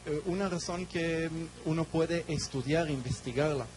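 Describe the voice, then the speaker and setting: Guest preacher, Israeli man, Hebrew, short phrases left for the interpreter, slightly high voice, faint hum. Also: moderate pace, a little mellow. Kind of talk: sermon with live interpretation.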